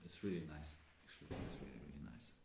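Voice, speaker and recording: Deep-voiced man chatting in an echoey room. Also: vocal fry. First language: not English. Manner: halting, filler-heavy, laughs often. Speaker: Daoist teacher. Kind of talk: casual livestream chat. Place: indoor room with tea table. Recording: echoey room, faint noise.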